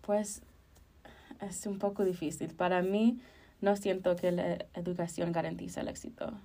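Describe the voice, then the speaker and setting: A woman's voice: lowish voice, fairly even intonation, normal speed, warm tone. A woman, co-hosting a casual podcast discussion in hesitant, self-correcting phrases. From Chicago, United States. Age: early 20s. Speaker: podcast co-host.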